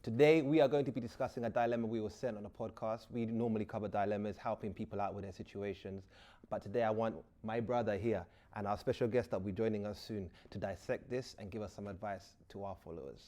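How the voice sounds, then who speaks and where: A man's voice: lowish voice, fast talker, mellow. A man, hosting a casual podcast with upbeat, playful banter. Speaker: podcast host.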